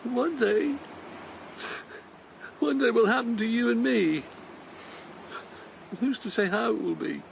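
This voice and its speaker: An actor playing a gentle elderly man, humble and bewildered, in a radio drama, speaking in a hesitant, tender, self-deprecating way. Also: very high-pitched, measured pace, gravelly, pauses often, slight background noise, heavily acted, rough recording. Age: older. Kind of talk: BBC radio drama acting.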